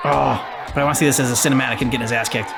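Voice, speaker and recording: A man's voice: medium pitch, steady tone, rapid-fire, vocal fry, over a noisy crowd. A man giving casual reaction commentary as host of a gaming video. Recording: game music and voices behind.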